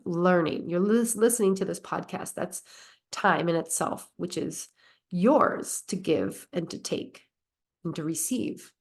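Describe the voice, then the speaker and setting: A woman with a cold, sounding nasal and stuffy, hosting her own podcast in an earnest, reflective way and asking rhetorical questions. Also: mid-range voice. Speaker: podcast host. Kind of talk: casual solo podcast talk.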